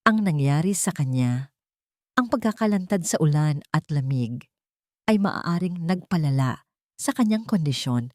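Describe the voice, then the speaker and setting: A woman reading the lines of a tired old male clinic doctor, explaining gently and gravely. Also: low voice, lively intonation, measured pace, no echo, clean studio sound.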